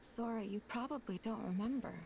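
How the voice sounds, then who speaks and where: An actress playing a gentle, composed young woman warrior in a game, speaking softly and earnestly. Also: fairly high pitch, fairly quick, a little hiss or hum, natural voice. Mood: warm, reassuring. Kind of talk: dramatic game cutscene acting.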